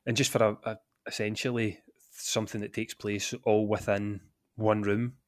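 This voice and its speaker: A man with a Glaswegian accent, a guest on a podcast, chatting in a relaxed, easygoing way with frequent laughs. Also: fairly low pitch, normal speed, dry recording, clean studio sound. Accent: Scottish, Glaswegian. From Scotland. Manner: relaxed, chatty, laughs often, says "aye". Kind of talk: casual chat.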